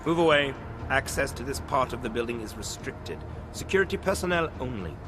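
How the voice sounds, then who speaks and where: A man's voice: medium pitch, animated, brisk, over music, natural voice. A man playing a shifty, officious and evasive security guard in a video game. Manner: hesitant, evasive. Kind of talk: video game cutscene acting. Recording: clear urban noise behind.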